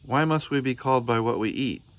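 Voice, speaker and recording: Man voicing a stubborn young anteater boy, higher-pitched. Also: a little fast, slight background noise, close to an everyday voice. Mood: puzzled, questioning. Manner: insistent, questioning. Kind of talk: children's picture book read-aloud.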